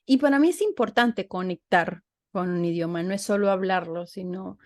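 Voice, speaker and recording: A woman's voice: on the low side, moderate pace. A woman hosting a casual interview, talking reflectively and often restarting her phrases. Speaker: host, interviewer.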